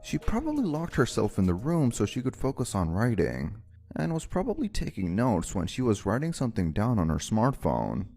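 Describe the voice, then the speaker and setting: A man's voice: mid-range voice, wildly swooping pitch, normal speed, dark tone, husky, heavily acted. A man playing an anxious, considerate young man in an anime-style romance dub, speaking earnestly and expressively with some hesitation. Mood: reflective narration. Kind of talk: anime-style dub acting and first-person narration. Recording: music bed behind the voice.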